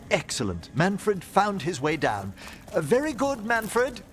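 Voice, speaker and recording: A man playing a scholarly gentleman necromancer in a fantasy game, speaking formally and eloquently with a theatrical manner. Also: sits high, wildly swooping pitch, slow, full character voice. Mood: genial, polite. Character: courtly, scholarly gentleman necromancer, genial and solemn.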